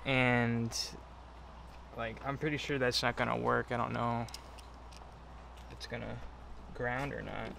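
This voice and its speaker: A man's voice: medium pitch, flat delivery, measured pace, creaky, long pauses, background noise. A man giving casual, offhand hands-on how-to commentary, half muttering to himself as he works. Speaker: DIY vlogger installing a CB radio.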